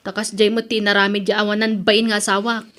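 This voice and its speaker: A woman's voice: mid-range voice, level tone, fairly quick, a bit bright, lightly acted. A woman with an Ilocano accent acting an evasive, resentful overseas worker in an audio drama. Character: evasive, resentful married woman working abroad. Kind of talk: Ilocano radio-style family drama acting.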